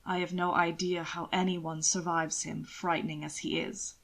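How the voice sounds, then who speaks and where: A woman voicing a sardonic, coolly polite aristocratic gentleman in an audiobook, with a droll, formal, understated delivery. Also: fairly low pitch, level tone, normal speed, voice pushed down. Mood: droll teasing. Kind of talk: audiobook character dialogue.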